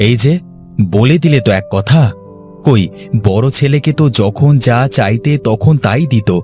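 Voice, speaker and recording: A man's voice: mid-range voice, unhurried, darkish tone, soft background music, lightly acted. A man voicing a poor, quarrelsome younger son in a cartoon, speaking in a complaining, bickering way. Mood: grumbling. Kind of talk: cartoon voice acting.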